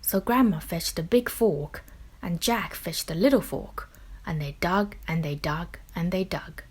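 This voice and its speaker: A woman reading a children's picture book aloud in expressive, deliberate narration with dramatic phrasing. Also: on the low side, breathy edge. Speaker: children's story reader.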